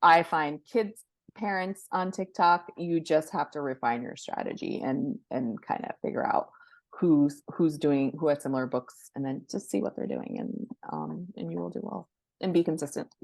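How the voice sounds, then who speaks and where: A woman with a low-pitched voice talks as a guest in a casual interview. She offers advice and small anecdotes, doubling words for emphasis. The sound is dry and close. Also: normal speed, vocal fry, no echo. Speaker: podcast guest, book marketing professional.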